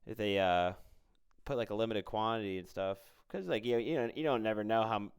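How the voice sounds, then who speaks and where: Very clear-voiced male podcast interviewer. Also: on the low side, brisk. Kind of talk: casual interview chat.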